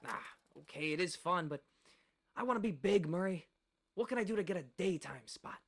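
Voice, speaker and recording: An actor playing a cocky, wisecracking late-night radio host in a radio play, with theatrical, sarcastic patter. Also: male voice, high-pitched, expressive, fairly quick. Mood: wry, restless.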